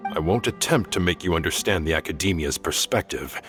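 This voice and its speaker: Deep formal older scholar master, solemn game character, really expressive pitch. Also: male voice, fairly quick, faint music behind. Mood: solemn, regretful. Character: dignified older male scholar and master, grave and courteous. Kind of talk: English video game dub acting.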